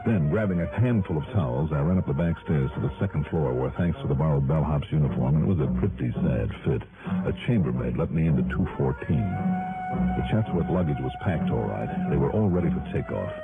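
An actor playing a wry, hard-boiled investigator who also narrates, in clipped slangy old radio detective style. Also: male voice, extremely low pitch, animated, a little fast, phone-quality sound, over loud music. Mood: wry narration. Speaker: lead and narrator. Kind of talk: 1950s-60s radio detective acting and narration. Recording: thin, band-limited vintage radio recording.

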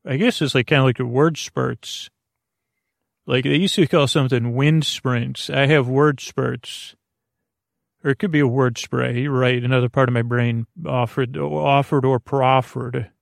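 A man with a creaky voice hosting a sleep podcast, speaking in a meandering, halting bedtime monologue full of soothing tangents and mild whimsy. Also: medium pitch, steady tone, no room sound, studio quality. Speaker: podcast host.

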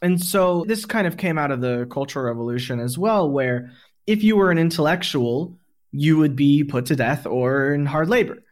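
A man's voice: on the high side, normal speed. A man hosting a casual podcast conversation, explaining his thoughts in a reflective way. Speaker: podcast host.

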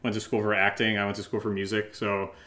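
American guy with a lowish voice, loose q&a chat, kinda teasing his buddy. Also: level tone, quick pace, pushed and bright. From Connecticut, US. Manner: playful, teasing. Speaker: podcast co-host, audio drama creator. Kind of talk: casual Q&A chat.

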